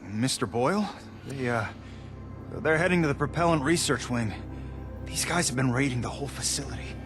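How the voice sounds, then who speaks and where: A man's voice: medium pitch, normal speed, music in the background, lightly acted. A man playing a shaken but helpful facility worker in a video game, hesitant and plain-spoken.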